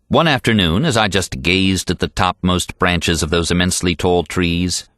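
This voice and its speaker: A man's voice: deep voice, moderate pace, a bit bright, no room sound, studio quality. An American man reading a literary novel aloud as an audiobook narrator.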